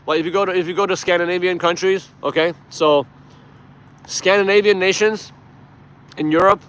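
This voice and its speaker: Higher-pitched man streaming casually, emphatic. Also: fairly even intonation, moderate pace, fairly bright tone, clean tone. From Iran. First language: Persian. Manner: emphatic, informal, swears freely. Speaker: live stream host. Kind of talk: casual livestream chat and political commentary.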